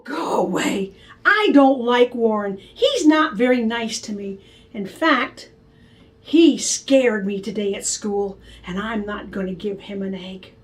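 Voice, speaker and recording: A woman voicing an upset little girl who gets whiny and insistent. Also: high-pitched. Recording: distant from the mic.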